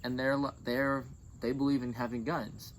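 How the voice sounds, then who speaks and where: A man's voice: medium pitch, little pitch movement, moderate pace. Laid-back young man on a noisy recording. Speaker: interview guest. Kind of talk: casual storytelling. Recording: noisy, with clear background noise.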